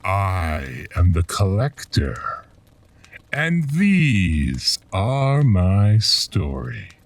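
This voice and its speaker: A very low-voiced, mysterious keeper of dark tales, speaks slowly and portentously. He taunts the listener with theatrical, swooping menace in the manner of a horror radio drama. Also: big pitch swings, distant mic, full character voice. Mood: taunting, menacing. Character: mysterious, ominous male storyteller collecting dark legends.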